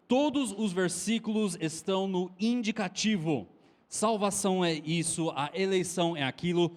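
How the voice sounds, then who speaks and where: A man from Texas with an American accent, preaching a sermon in a language not his first, slowly stressing each command. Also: on the high side. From Texas, United States. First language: English. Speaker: pastor.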